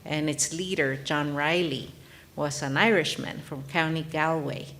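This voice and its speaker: A woman's voice: deep voice, steady tone, unhurried, a bit bright. A woman from Mexico, a native Spanish speaker, speaking as an author guest at a live panel in an earnest, reflective way with frequent restarts. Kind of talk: panel discussion.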